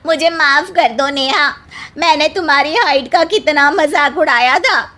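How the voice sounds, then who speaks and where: Woman as bright-voiced, theatrical gossipy neighbour. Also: sits high, unhurried. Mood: apologetic. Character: gossipy, dramatic neighbourhood woman in a cartoon tale.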